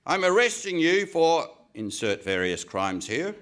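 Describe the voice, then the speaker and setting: A man acts out a pompous police inspector with a bright, forceful voice and wildly swooping pitch. He blusters theatrically in old radio comedy style. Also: big pitch swings, unhurried. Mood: blustering, triumphant. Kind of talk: parody of 1950s radio comedy acting. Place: live on stage in a hall.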